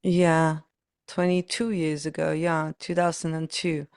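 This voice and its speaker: Low-pitched Ukrainian woman with dry close sound. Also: little pitch movement, unhurried, a little mellow, smooth, no echo. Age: 40s. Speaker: podcast guest, pianist and performance coach. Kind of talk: reflective conversational interview.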